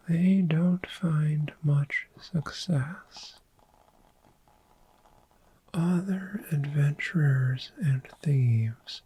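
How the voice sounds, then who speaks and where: A performer voicing a gentle, friendly dragon in human form for a sleep ASMR roleplay, in a soft breathy whisper with unhurried, soothing pauses. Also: mid-range voice, full character voice, low-quality recording. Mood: quietly possessive, gentle.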